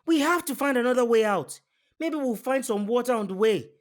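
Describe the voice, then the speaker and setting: A man's voice: very high voice, expressive, brisk, pitched above the performer's own voice. A man voicing a timid, sensible young cartoon boy adventurer with a hesitant, pleading manner. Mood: firm, fed up.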